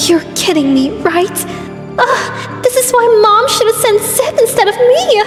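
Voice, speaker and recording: Woman as a whiny, high-pitched diva pony princess. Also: big pitch swings, measured pace, exaggerated voice. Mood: whiny, sulking. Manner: dramatic, exasperated whining. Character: whiny, vain young pop-star princess pony. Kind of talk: cartoon voice acting in a comic dub. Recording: very echoey, long reverb, music underneath.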